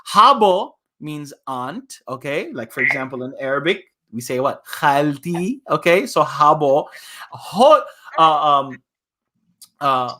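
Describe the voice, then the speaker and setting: A man's voice: a little high, big pitch swings, slow, pushed and bright. A man hosting a live children's story time as an imam, chatting in a playful, folksy, teacherly way. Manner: playful, folksy, teacherly with repeated "okay?" check-ins. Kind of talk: live children's read-along with casual chat.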